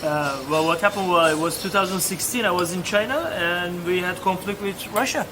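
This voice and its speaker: A man in his thirties with a Turkish accent, telling his story as a podcast guest in candid, halting English full of restarts. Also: high-pitched, fairly even intonation. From Turkey. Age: 30s. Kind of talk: casual interview chat. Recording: noisy ambient background.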